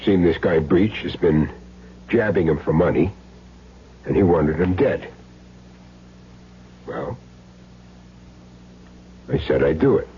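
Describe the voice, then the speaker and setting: A low, swooping American male voice as a cagey hired gun making his confession. He talks in plain street slang, earnest and self-justifying. It sounds like an old radio crime play, dull and noisy with hum. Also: lively intonation, takes it slowly, long pauses. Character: cagey small-time hired gun confessing, insisting he's clean. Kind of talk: old-time radio crime drama acting.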